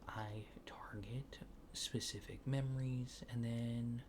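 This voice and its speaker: A man doing a soft-spoken, often whispered ASMR roleplay as a casual, polite alien technician, rambling hesitantly in a low-key, reassuring way. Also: steady tone, slow, noisy background. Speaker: ASMR creator.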